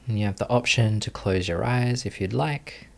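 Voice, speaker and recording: A man leading a guided meditation as a teacher, speaking gently and calmly, unhurried, with long pauses between phrases. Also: on the low side, vocal fry.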